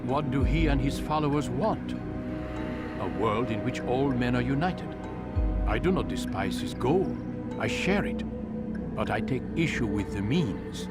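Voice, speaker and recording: A man playing a solemn, persuasive old master in a game cutscene, speaking in measured, formal oratory. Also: medium pitch, expressive, natural voice. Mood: wise admonishing. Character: grave, persuasive old male master and mentor. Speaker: game voice actor. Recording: loud music under the voice.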